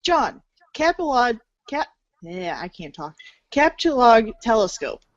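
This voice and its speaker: Female storybook narrator, animated intonation, informal, playful, dry close sound, slightly muffled. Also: a little high, a little slow, no echo.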